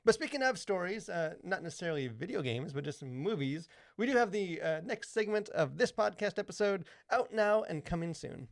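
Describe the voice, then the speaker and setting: A man's voice: high voice, animated, moderate pace, creaky, hardly any pauses, no room sound, studio quality. A man co-hosting a podcast in casual chat, rambling with lots of "like" and "you know". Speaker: podcast co-host.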